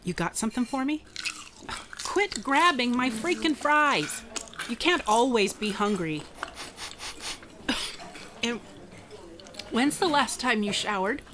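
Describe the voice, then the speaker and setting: A woman's voice: on the high side, lively intonation, slow, long pauses, background noise. A woman playing a plain-spoken, wry private investigator in a radio drama, informal in manner. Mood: exasperated, sardonic. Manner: sardonic, informal. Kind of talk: contemporary radio drama acting.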